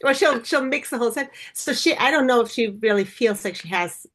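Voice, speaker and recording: A woman hosting a podcast, talking in a relaxed, reflective way with many fillers. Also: fairly high pitch, a little fast. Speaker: podcast host. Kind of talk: casual chat.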